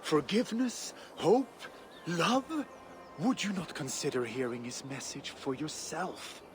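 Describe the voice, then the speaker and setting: Slow, mellowed Viking conqueror. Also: male voice, fairly high pitch, background noise. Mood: earnest, serene. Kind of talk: dramatic video game acting.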